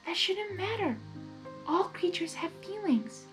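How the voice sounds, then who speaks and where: A woman voicing a shy, gentle female cartoon pony in a soft, hesitant way. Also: very high-pitched, lively intonation, measured pace, faint music behind, pitched above the performer's own voice. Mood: gentle concern.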